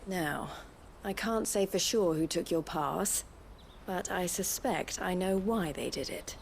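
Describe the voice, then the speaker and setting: An actress playing a shrewd, haughty merchant in a video game, with a wry, businesslike manner. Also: female voice, lowish voice, measured pace, vocal fry, slight background noise. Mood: measured, knowing. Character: brisk, shrewd, haughty female caravan owner.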